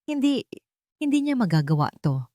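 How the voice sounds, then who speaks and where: A woman's voice: fairly high pitch, sing-song, moderate pace, mellow, no room sound, studio quality, voice pushed up. A woman with a Filipino accent voicing a scheming, theatrical husband in an audiobook drama. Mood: feigned disbelief. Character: scheming husband feigning shock and grief.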